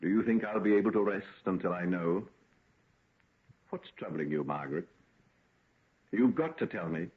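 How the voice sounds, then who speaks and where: An actor playing a tormented, brooding gentleman scientist in an intense, melodramatic golden age radio drama style. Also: sits low, lively intonation, normal speed, pauses often. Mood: weary, pressing. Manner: intense, melodramatic, grandiose phrasing. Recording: tinny band-limited vintage radio recording.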